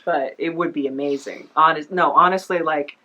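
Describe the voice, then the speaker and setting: A woman's voice: deep voice, steady tone, normal speed, bright, forceful tone, muffled recording. A woman hosting a podcast in a casual, rambling, self-deprecating chat style. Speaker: podcast host.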